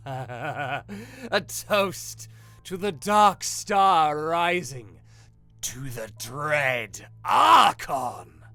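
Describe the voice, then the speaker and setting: Boastful alien reveller, grand proclamations, slow and bright, music beneath. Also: male voice, fairly high pitch, dry recording, heavily acted. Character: flamboyant, boastful alien reveller leading a toast. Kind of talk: audio drama acting.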